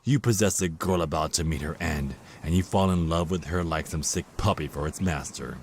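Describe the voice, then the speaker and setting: An actor playing a cruel, contemptuous Archangel of Death in an audio drama, with a mocking, theatrical delivery. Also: male voice, a bass voice, normal speed, slight background noise. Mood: mocking, gloating. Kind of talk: dramatic villain monologue in audio roleplay.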